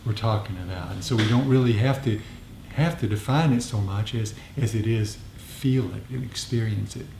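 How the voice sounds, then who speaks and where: A low, mellow male voice teaching a meditation group. He explains reflectively, circling back over his phrases. The room is echoey. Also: moderate pace, vocal fry. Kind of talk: informal dharma discussion and meditation guidance. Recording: echoey room, faint background noise.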